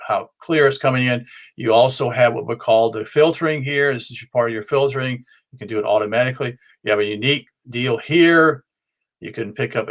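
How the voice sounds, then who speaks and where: Halting man giving an informal explainer. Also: medium pitch, a bit bright. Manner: halting, rambling, searching for words. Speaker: presenter of a ham and shortwave radio show. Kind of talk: informal explanatory talk, unscripted.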